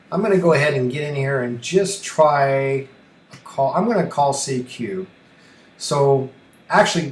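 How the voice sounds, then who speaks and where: Slow-talking man in an echoey room. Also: medium pitch. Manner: rambling, thinking aloud, self-deprecating. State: allergy coughing and throat-clearing. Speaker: livestream host and ham radio operator. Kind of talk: casual live-stream tutorial. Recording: roomy echo, faint noise.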